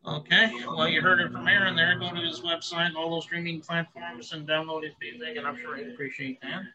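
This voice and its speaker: A man hosting an interview show who speaks in a friendly, steady way as he introduces and promotes his guest. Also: fairly high pitch, brisk, a crowd in the background. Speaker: show host. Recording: slightly dull lo-fi sound.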